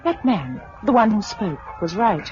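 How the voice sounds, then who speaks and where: A woman's voice: medium pitch, big pitch swings, unhurried, a little mellow, faint music behind. An actress playing a concerned, compassionate woman survivor in a 1950s radio drama, earnest and anxious. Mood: distressed. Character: Gisela, concerned, compassionate young female survivor. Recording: muffled, noisy vintage radio recording.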